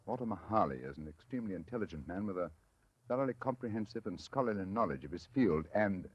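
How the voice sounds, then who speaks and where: Aloof brilliant English detective, very animated intonation, crisp, dryly ironic, dull vintage radio sound. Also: male voice, deep voice, fast talker, no echo. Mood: measured. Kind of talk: 1940s radio drama acting.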